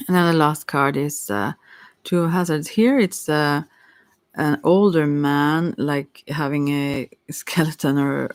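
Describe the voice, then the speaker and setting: An ASMR tarot reader talks slowly with a creaky, gravelly edge. The delivery is hesitant and thoughtful, searching for words while improvising a card reading, recorded close and dry. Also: medium pitch, no room sound. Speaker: tarot reader hosting a live session.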